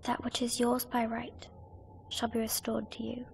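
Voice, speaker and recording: A woman playing a gentle, solemn young noblewoman in a video game, speaking formally and poetically in a breathy near-whisper. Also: a little high, completely flat delivery, moderate pace, slight background noise. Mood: tender, solemn.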